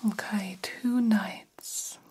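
A woman playing an attentive vampire doctor in an ASMR roleplay, whispering softly and soothingly with a breathy voice. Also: medium pitch, fairly even intonation, full character voice. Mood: calm, questioning. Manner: hushed, unhurried, soothing bedside talk. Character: female vampire doctor, attentive, calmly examining a patient.

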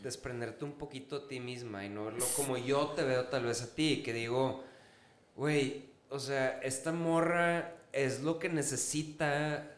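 A man's voice: medium pitch, fairly even intonation, measured pace, slight background noise. A man hosting a casual podcast, effusive and encouraging, speaking in loose slang. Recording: echoey room sound.

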